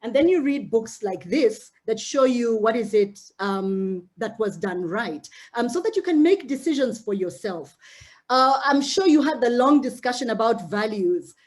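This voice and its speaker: A woman's voice: fairly high pitch, animated, normal speed, a little mellow. A middle-aged woman from Uganda giving an earnest, direct talk to young women she mentors, often repeating words as she goes. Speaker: mentor speaking to a mentee cohort. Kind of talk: motivational talk.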